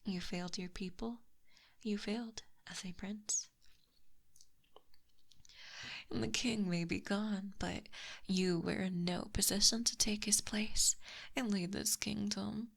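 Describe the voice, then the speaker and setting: Woman playing a slow, whispery lamia monster girl. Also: medium pitch, fairly even intonation. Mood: smug, menacing. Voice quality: breathy, whispery. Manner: slow, smug, softly menacing. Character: possessive, smugly teasing female lamia snake monster captor. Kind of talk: intimate ASMR roleplay. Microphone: close binaural microphone.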